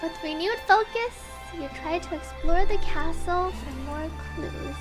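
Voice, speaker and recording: Very high-pitched woman streaming over music. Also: unhurried. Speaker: game streamer. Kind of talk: casual livestream chat, reading game subtitles aloud. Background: game music.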